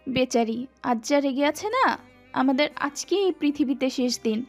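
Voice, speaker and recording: A woman's voice: high voice, lively intonation, a little slow, soft background music. A woman playing a melodramatic young student in an audio story, lamenting theatrically. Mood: mock doom. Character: melodramatic, doom-struck young female student friend.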